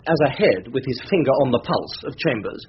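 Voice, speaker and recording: An actor playing a pompous, peevish English barrister in a radio comedy-drama, exasperated and clipped. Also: male voice, medium pitch, lively intonation, a little fast, lightly acted. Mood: fussy complaint. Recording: muffled radio recording, faint noise.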